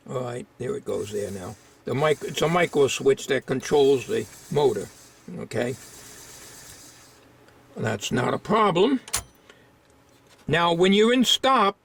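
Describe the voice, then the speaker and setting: A man giving an informal, unscripted hands-on repair demonstration, thinking aloud in a halting way. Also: fairly high pitch, fairly bright tone.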